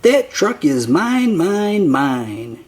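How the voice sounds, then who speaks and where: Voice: mid-range voice, sing-song, a little mellow. Reader putting on a whiny, grumpy little toad. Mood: whiny, possessive. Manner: whining, drawn-out complaints. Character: whiny grumpy young toad, possessive playmate.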